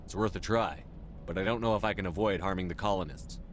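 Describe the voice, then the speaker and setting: Man playing a composed, low-voiced military commander. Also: fast talker, slight background noise, close to an everyday voice. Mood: measured, persuasive. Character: composed male military commander, steady and pragmatic. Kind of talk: video game voice acting.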